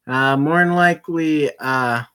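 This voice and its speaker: This is a game streamer with a bright, pushy edge to a mid-pitched voice. The delivery is slow and informal, loose with swearing, moving between chat to viewers and reading game dialogue out loud. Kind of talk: casual live stream, reading visual novel dialogue aloud.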